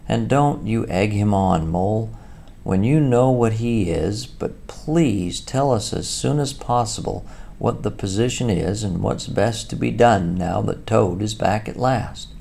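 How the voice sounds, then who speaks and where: A man's voice: lowish voice, a little slow, creaky, warm tone, voice pushed up. A man voicing a fussy, sensible male rat in a storybook reading. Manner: fussy, pleading, ponderous.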